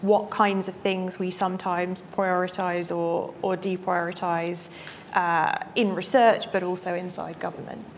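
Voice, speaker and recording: A woman's voice: on the low side, level tone, measured pace, slight background noise. Polite woman chairing a panel. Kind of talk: moderating a panel.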